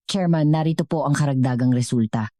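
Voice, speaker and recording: A woman's voice: very deep voice, fairly quick, warm tone, no echo, clean studio sound. Formal, efficient female corporate aide, voiced by a narrator. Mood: businesslike. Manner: formal, reporting.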